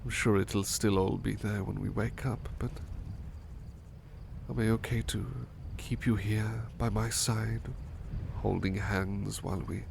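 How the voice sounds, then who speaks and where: A man playing a tender, courteous butler in love, murmuring gently and hesitantly in a soft romantic ASMR roleplay. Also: on the low side, little pitch movement, a little slow, creaky, background noise. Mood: sleepy, tender.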